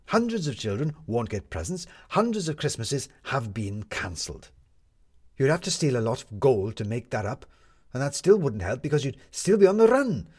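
A man voicing a brisk, determined young woman reporter in a storybook, challenging others with emphatic questions. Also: medium pitch, darkish tone, breathy edge. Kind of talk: storybook character dialogue.

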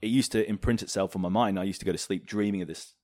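A man from Hong Kong chatting casually as an interview guest, telling anecdotes in an animated way. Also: deep voice, fast, dry recording, studio quality. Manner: animated, chuckles as he tells anecdotes. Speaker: interview guest, former fighter pilot. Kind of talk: casual storytelling in a podcast interview.

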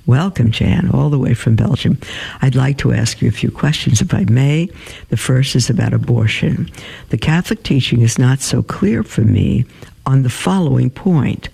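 A man hosting a religious advice show, reading listener emails aloud and answering them in a halting way with many pauses and fillers. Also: medium pitch, gravelly, dark tone, slightly rough, low-quality recording.

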